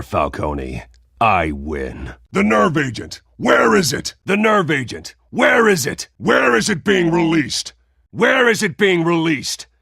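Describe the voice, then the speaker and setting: A man voicing a grim, driven vigilante in a video game, terse and commanding, with a gravelly, husky voice. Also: on the low side, fairly bright tone, no echo, studio quality, full character voice. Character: grim, driven masked male vigilante and wealthy crusader.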